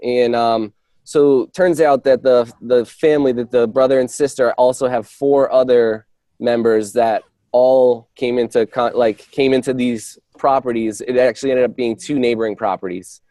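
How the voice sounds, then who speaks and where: Guy giving a work update on a call, kinda halting, soft mellow tone, really dry sound. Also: medium pitch, fairly even intonation, no echo. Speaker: real estate agent reporting on appointments. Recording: dry conference call audio.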